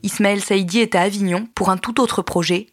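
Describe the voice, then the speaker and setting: A woman reading scripted documentary narration in a measured, neutral way. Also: mid-range voice, steady tone, dry recording, clean studio sound, faint music behind.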